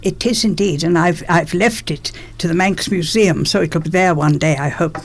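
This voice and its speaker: A woman's voice: fairly low pitch, moderate pace, slight background noise. An elderly woman reminisces in an interview, stressing her points by repeating phrases. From England, born in London. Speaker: interviewee, former politician.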